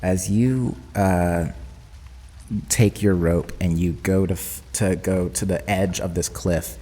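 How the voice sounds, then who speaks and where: A man's voice: deep voice, steady tone, slow, dark tone, in a large hall. A man running a tabletop roleplaying game as game master, narrating in a dramatic, descriptive way.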